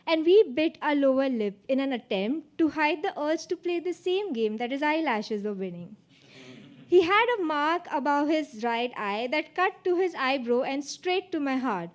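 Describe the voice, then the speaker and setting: Female spoken-word poet, high-pitched voice, performing a written piece. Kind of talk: spoken-word poetry recital.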